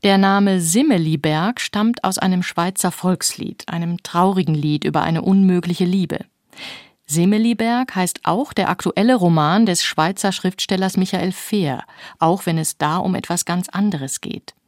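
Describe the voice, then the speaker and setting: A woman's voice: fairly low pitch, unhurried, darkish tone, no room sound, studio quality. A woman hosting a radio book show, presenting from a script. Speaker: radio host.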